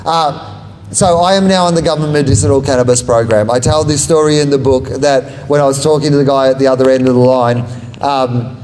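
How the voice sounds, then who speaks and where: A man's voice: mid-range voice, steady tone, a little fast, a little mellow, a little hiss or hum. A man, a comedian and author talking about his book at a live bookshop event, rambling and self-deprecating. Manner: rambling, self-deprecating and jokey, laughs at own lines. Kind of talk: live book talk with audience questions. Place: bookshop event before an audience. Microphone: handheld microphone.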